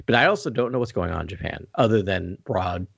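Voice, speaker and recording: A man's voice: sits low, animated, quick pace, vocal fry, fairly bright tone, breathy edge, no room sound, lo-fi sound. A man on a podcast panel in a casual review discussion, earnest and rambling as he thinks aloud.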